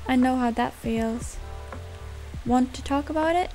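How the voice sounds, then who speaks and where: A woman acts out a friendly, nosy young woman in a visual novel game. The voice is high and perky, full of curious chatter. Faint music runs underneath. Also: measured pace, dark tone. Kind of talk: fan-dubbed visual novel acting.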